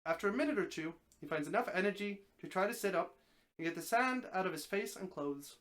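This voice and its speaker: Expressive story narrator with a mid-range voice. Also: medium pitch, fast talker. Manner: expressive narration, occasional stumbles and repeated phrases. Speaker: streamer reading a story aloud. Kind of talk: live dramatic story reading.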